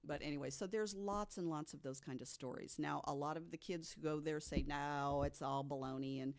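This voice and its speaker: A woman telling ghost stories in a casual, chatty way as a podcast guest, quick to laugh. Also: sits low. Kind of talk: casual storytelling chat.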